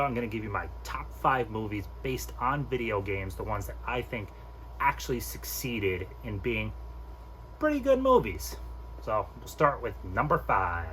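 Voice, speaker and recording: Casual low-voiced man, noisy recording. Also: a bit bright. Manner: informal, opinionated, mildly sweary. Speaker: host of a movie countdown video. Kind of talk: casual review to camera.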